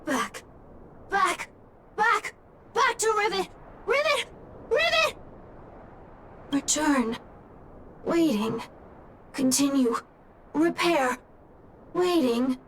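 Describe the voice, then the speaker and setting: Little game robot: very high, extremely slow, one clipped word at a time. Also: female voice, animated, fairly bright tone, slight background noise, exaggerated voice. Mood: mechanical, repetitive. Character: small simple robot speaking in single words. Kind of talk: video game voice acting.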